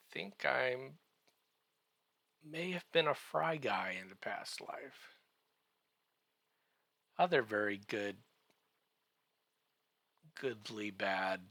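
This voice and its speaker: A man giving a comedic podcast recap in a halting, drawn-out way, full of wry joking asides. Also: fairly low pitch, bright, forceful tone, no room sound.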